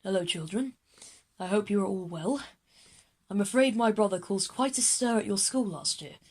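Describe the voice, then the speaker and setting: Woman playing a posh, genteel grand gentleman. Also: mid-range voice, expressive, normal speed, husky. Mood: polite, grand. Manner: genteel, apologetic. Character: posh, polite gentleman, self-styled master of the universe.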